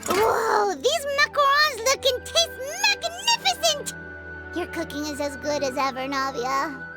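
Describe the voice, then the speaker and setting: A woman voicing a small, excitable, childlike fairy companion with a squeaky voice in an English video game dub. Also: a little slow, heavily acted. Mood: delighted. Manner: speaks of self in third person. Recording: music behind the voice.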